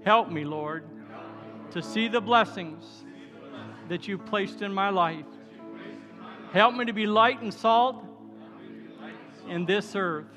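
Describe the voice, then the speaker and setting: A seventy-year-old man delivers a church sermon with an exhorting, repetitive cadence. His voice is bright and forceful, pitched high for a man. It rings through a large reverberant hall, with music behind him. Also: slow pace, pauses often. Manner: exhorting, repetitive declarations, breaking into shouted praise. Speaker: pastor. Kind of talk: sermon and altar call.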